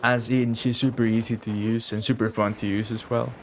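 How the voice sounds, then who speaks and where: A man's voice: mid-range voice, little pitch movement, brisk, slight background noise. A man chatting casually on a game live stream, rambling and repeating phrases as he thinks aloud.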